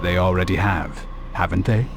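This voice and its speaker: An actor playing a cool, resolute master assassin in a video game cutscene, with a terse, dry, controlled delivery. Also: male voice, deep voice, normal speed, background noise. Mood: cool, menacing.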